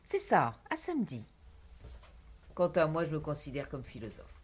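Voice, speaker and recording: A lofty condescending film teacher, low husky voice that swings wide, film drama. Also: female voice, huge pitch swings, moderate pace, exaggerated voice. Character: haughty, self-important female film teacher. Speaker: private film and art teacher.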